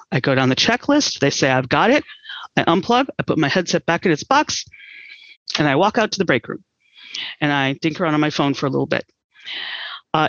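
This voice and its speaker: A middle-aged American woman explaining her work as an interview guest, in deliberate, measured phrases. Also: low voice, a bit bright, no room sound, muffled recording. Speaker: air traffic controller, interview guest.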